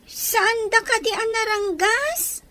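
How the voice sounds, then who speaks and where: A woman's voice: very high voice, slow, bright, forceful tone, full character voice. A woman acting a small, sad, obedient girl who clings to her father in an Ilocano radio drama, with an Ilocano accent. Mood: anxious, questioning.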